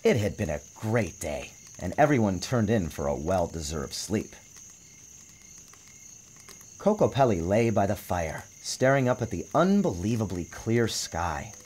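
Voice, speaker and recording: A man giving a dramatic, expressive narration of a storybook, theatrical in his phrasing. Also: lowish voice, measured pace, breathy edge. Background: music.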